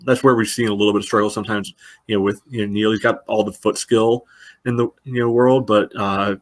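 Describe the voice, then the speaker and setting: A man's voice: on the low side, little pitch movement, brisk. A man giving casual sports analysis as a podcast panelist, in loose phrasing padded with filler phrases. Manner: loose phrasing with frequent "you know".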